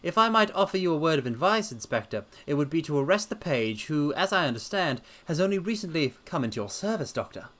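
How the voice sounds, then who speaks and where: A man performs a brisk gentleman detective from a storybook mystery. His voice is pitched high and swings up and down with animation. He sounds assured, methodical and courteous. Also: very animated. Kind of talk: dramatic reading of a detective story.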